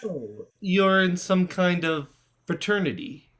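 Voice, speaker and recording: A man acts a sardonic teenage boy in an adult stage comedy. The voice is bright and sharp, a little high, with lively swings and a sarcastic bite. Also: slow, full character voice. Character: sardonic sixteen-year-old human boy.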